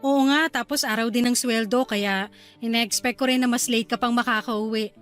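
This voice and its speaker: A woman's voice: fairly high pitch, fairly even intonation, moderate pace, a bit bright, close to an everyday voice. A woman with a Filipino accent acting a caring, hesitant young wife in a Tagalog radio drama. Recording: music behind the voice.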